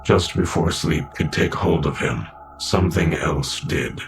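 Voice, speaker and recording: A man narrating a dark sci-fi audio drama as a grave, brooding storyteller. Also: a bass voice, a little slow, gravelly, faint music behind, heavily acted. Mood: tense, ominous.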